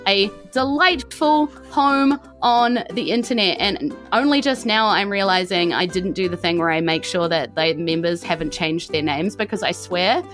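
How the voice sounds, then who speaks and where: A woman, animated and playful as game master and host of a tabletop podcast. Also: on the low side, moderate pace, few pauses. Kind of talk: narrating a tabletop game and chatty podcast outro. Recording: music behind the voice.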